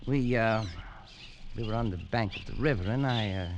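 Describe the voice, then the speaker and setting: An actor playing an arrogant, flippant English colonial adventurer in a radio drama, speaking theatrically. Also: male voice, fairly low pitch, moderate pace, highs cut off, noisy background, close to an everyday voice. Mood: evasive, uneasy. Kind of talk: old-time radio drama acting. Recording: vintage radio recording with music.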